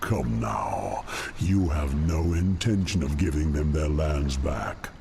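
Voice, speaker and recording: An actor playing a disdainful, scheming demon lord in a video game, speaking in a sardonic, formal way. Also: male voice, lively intonation, slow pace, background noise, exaggerated voice. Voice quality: deep, creaky. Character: scheming male demon dreadlord, disdainful, silky servant. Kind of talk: video game cutscene acting. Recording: game audio under clear music.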